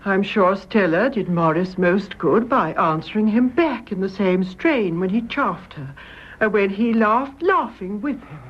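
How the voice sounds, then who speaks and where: An actress with a British accent playing an older, composed mother in a radio drama, measured, soothing and genteel. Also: medium pitch, animated, lo-fi sound. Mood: calm, dignified. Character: composed older mother, gentle, dignified peacemaker.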